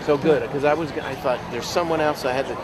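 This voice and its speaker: Rambling, joking man with a higher-pitched voice. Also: fairly even intonation, fast, a loud crowd. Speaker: MC and local TV show personality. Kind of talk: casual interview chat outdoors. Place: outdoors at a park gravesite.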